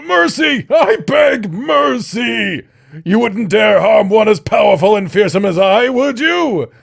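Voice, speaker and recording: A man's voice: high-pitched, lively intonation, slow, creaky, shouting, voice pushed up, full character voice. A man voicing a pompous, easily offended tiny male snake demon with haughty, dramatic delivery. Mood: pleading.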